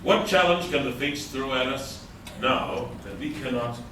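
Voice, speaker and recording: A man's voice: mid-range voice, measured pace. A man playing a proud, solemn gargoyle leader with formal, declamatory speech in a live radio play. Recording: echoey hall from far off, loud noise.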